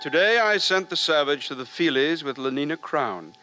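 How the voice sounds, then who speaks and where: A man's voice: a little high, lively intonation, moderate pace, sharp tone. An actor in a 1950s radio drama playing a pompous, fussy, anxious male official of future London. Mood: prim, disapproving. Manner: pompous, fussy, hesitant over delicate words. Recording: hissy old radio recording.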